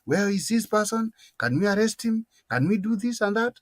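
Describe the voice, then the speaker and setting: A man's voice: high voice, animated, normal speed, no echo, studio quality. A Kenyan man with a Kenyan accent, arguing emphatically in a political discussion. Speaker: panelist.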